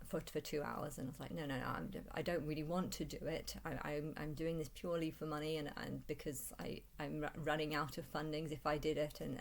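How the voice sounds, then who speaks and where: A woman with a low-pitched voice talks through a rambling personal vlog. She speaks haltingly, repeating and restarting her phrases as she recounts things in the first person. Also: gravelly. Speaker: vlogger.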